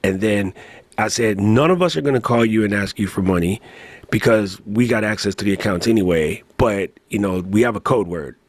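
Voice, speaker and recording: A man on a tech podcast panel, telling a story in an animated, slangy way. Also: on the low side, brisk, dry recording. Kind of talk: casual chat.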